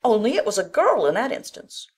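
A woman's voice: medium pitch, sing-song, brisk, a little mellow, husky. A woman playing an opinionated, gossipy older village busybody in a dramatic audiobook, with a blunt, scolding, folksy delivery. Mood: doubtful warning.

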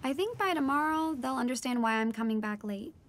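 High-voiced earnest teenage daughter narrating. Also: female voice, expressive, brisk. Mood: warm, hopeful. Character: teenage daughter of a marine biologist, earnest storyteller. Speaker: narrator and lead character. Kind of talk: scripted first-person audio drama narration.

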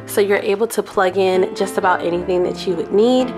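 A young woman vlogging an unboxing in a small living room, chatty and excitable, a little sniffly from a cold. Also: medium pitch, brisk, over music. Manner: chatty and excitable, addresses viewers as y'all. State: sniffly, getting over a cold. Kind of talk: casual unboxing vlog.

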